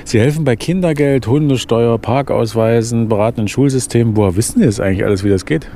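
Male radio host, low gravelly voice, quick chatty questions, bit of noise behind. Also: dark tone. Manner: brisk, conversational questioning. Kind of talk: radio interview.

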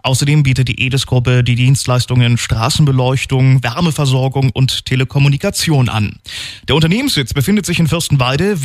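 A man's voice: mid-range voice, no room sound, studio quality. Upbeat man hosting a radio show. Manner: upbeat, polished presenter delivery. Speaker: radio host. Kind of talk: radio interview moderation. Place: radio studio.

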